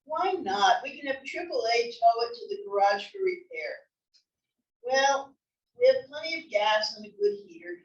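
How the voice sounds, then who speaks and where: A no-nonsense old wife, read by a woman at a comedy table read, dry and impatient with her husband. Her voice is high and carries from a distance in an echoey room. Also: expressive, normal speed, lo-fi sound.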